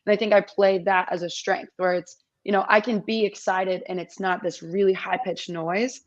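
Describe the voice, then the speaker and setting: A woman in her twenties with a slightly raspy voice, chatting upbeat as a guest in an interview over a video call. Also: mid-range voice, little pitch movement, moderate pace, dull audio. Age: 20s. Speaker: competition MC, guest. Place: small indoor room.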